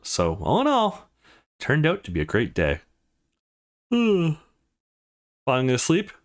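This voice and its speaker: Man reading as a brooding young man, animated pitch. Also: slow, heavily acted. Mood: brooding, questioning. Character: young man, visual novel protagonist, conflicted and brooding. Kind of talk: reading visual novel inner monologue.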